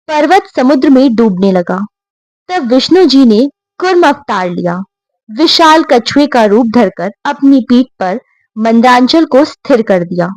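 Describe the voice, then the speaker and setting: A woman narrating scripted devotional stories in a measured, reverent and formal manner. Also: fairly high pitch, no echo, rough recording. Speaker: storyteller.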